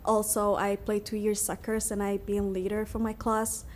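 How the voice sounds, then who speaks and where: Young woman, halting English, noisy room. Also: mid-range voice, little pitch movement. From Turkey. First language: Somali. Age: young adult. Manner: halting, non-fluent English. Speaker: high school student guest speaker. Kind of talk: informal self-introduction and answering questions at a public meeting. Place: meeting room at a school board session.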